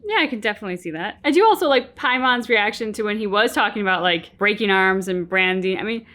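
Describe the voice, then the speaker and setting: A female reaction host with a mid-pitched voice. She comments casually on a video game in a reflective, analytical way, with loose informal phrasing. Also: lively intonation, fast talker, pushed and bright.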